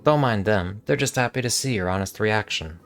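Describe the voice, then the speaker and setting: A man reading video game dialogue aloud in a monotonous voice, with casual asides. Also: lowish voice, fairly quick, faint music behind.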